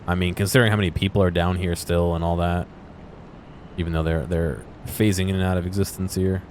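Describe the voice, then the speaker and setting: A man with a low voice talks casually through a video game, thinking aloud in an unscripted way. Noticeable background noise sits behind him. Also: little pitch movement, fairly quick, a little mellow. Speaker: gameplay video host.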